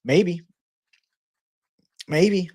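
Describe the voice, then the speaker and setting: A man's voice: high voice, very slow, darkish tone, dry recording, clean studio sound. A young adult man from Massachusetts hosting a casual MMA livestream, chatting loosely and animatedly with bro-heavy slang while reading viewer comments.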